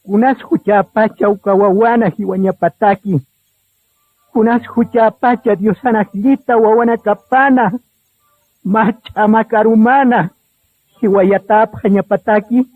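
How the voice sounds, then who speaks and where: Over music and through a tinny, narrow-band recording, a man narrates a radio drama. His voice is high and husky, and he speaks slowly and solemnly in a deliberate storytelling style. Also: steady tone, no room sound.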